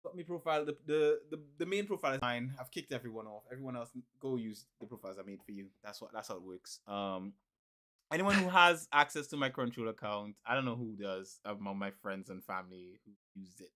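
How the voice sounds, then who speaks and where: A man's voice: mid-range voice, animated, a little fast, bright, forceful tone. Rambling man chatting on a podcast. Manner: rambling, repeats himself, laughs often. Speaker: podcast co-host. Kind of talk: casual podcast chat.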